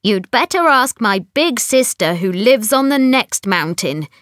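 A woman voicing a helpful old hag in a storybook, advising in a deliberate manner. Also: on the high side, lively intonation, a bit bright, dry recording, clean studio sound. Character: old hag sisters on mountaintops, helpful fairy-tale crones.

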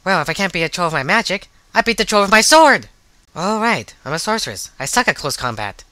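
A man's voice: high voice, very animated, fast talker, very noisy. A man voicing a hot-tempered, bossy young sorceress in a parody anime fan dub, sarcastic and foul-mouthed. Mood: angry.

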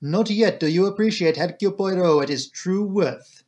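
A man's voice: sits high, moderate pace, darkish tone, pitched above the performer's own voice, natural voice. A man voicing a fussy, proud, courteous detective in an audiobook, with a French accent and formal, theatrical phrasing. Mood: courteous, assured.

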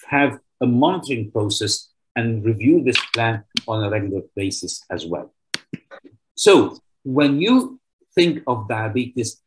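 A man's voice: mid-range voice, poor audio. An older man with an Egyptian accent, giving a formal medical lecture as a professor and stressing his key words. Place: indoors, remote video presentation. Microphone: video call.

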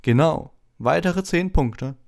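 A man voicing a brisk, practical female herbology professor instructing her class in an audiobook. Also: on the high side, animated, creaky, pitched above the performer's own voice, heavily acted. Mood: brisk, instructive. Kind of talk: audiobook character voice.

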